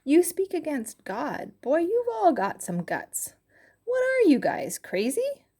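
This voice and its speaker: A woman's voice: sits high, wildly swooping pitch, slow, mellow, pitched above the performer's own voice, exaggerated voice. A woman voicing a brash young man scolding the others in rhyme. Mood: indignant. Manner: brash, indignant.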